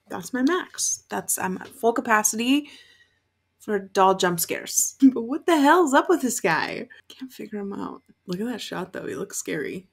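A woman's voice: mid-range voice, creaky, breathy edge. A woman in her twenties giving casual, exasperated, sweary reaction commentary while watching a show. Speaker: reaction video host. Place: small bright bedroom, indoors.

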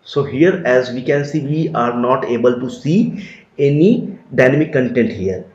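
Guy walking through a software tutorial, dark mellow voice, echoey dull recording. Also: medium pitch, a little slow. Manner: steady explanatory walkthrough, repeats phrases. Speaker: tutorial instructor. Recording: dull lo-fi roomy sound.

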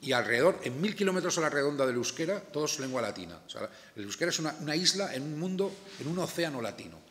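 A man's voice: a little high, very animated, quick pace, fairly bright tone. An older man with an accent from Spain giving an informal, emphatic lecture, often digressing and asking rhetorical questions. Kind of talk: informal academic lecture to an audience. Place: indoor lecture room, seated at a table. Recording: echoey lecture room recording.

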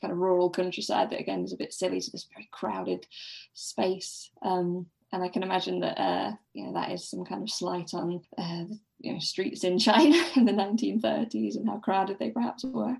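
A woman speaking as a podcast guest in a casual book discussion, tentatively softening her points. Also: mid-range voice, steady tone, normal speed, creaky.

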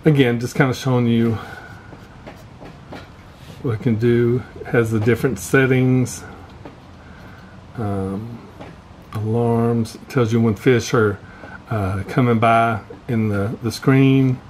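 Man, medium-pitched voice, casual product walkthrough, halting unscripted delivery, camera mic in a garage, faint background noise. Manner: unscripted, halting, pausing mid-phrase. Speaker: vlogger showing fishing gear. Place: indoor garage workshop. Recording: steady background noise, room ambience.

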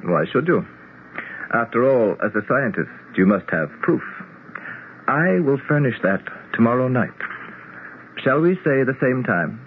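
An actor playing a courtly, self-assured immortal alien prince in a 1970s radio drama, speaking formally and with stately certainty. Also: male voice, mid-range voice, big pitch swings, unhurried, pauses often. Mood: lofty, calm. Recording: tinny, noisy 1970s radio broadcast.

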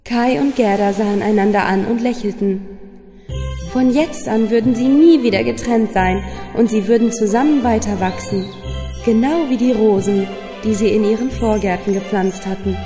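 A woman's voice: mid-range voice, normal speed, warm tone, long reverb tail. A woman narrating a scripted fairy tale for children as a storyteller. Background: music.

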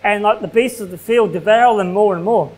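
A man's voice: high-pitched, a little fast, darkish tone. A man in his thirties with an Australian accent, preaching a sermon in a small church hall and reading scripture aloud between casual remarks. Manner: preaching at a pulpit, reading King James verses aloud, casual fillers like "like". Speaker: pastor.

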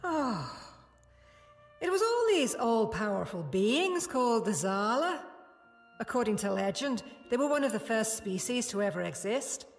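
A woman's voice: on the high side, very animated, unhurried. A woman playing a stern female prison security guard who scolds and explains, with music behind. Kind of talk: fan audio drama acting. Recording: long reverb with music behind.

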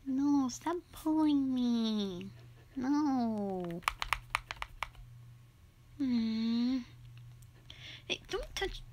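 Woman acting a slow-talking, whiny gamer girlfriend. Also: on the high side, darkish tone, slight background noise. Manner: whiny, pouty, drawn-out pleading. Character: sulky, dramatic young gamer girlfriend, tsundere schoolgirl. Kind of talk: roleplay audio drama, intimate one-sided dialogue.

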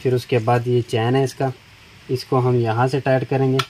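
A middle-aged man talks at an unhurried pace while his hands work on a tool. His voice stays level and barely rises or falls. He is recorded on a phone held over a shop counter, with clear background noise in the recording. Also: medium pitch, flat delivery. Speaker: tool shop seller demonstrating a chainsaw. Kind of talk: step-by-step product demonstration.

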